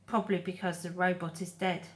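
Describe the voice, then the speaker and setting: A woman voicing a gentle robot mother in a storybook, speaking in a calm, measured and formal manner. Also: fairly low pitch, close to an everyday voice. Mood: calm, reassuring.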